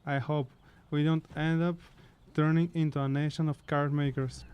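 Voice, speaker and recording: A man's voice: a little high, mellow, slight background noise, lightly acted, low-quality recording. A man playing a brooding, sardonic chimera wanderer in a fantasy audio drama, grumbling his way through monologues.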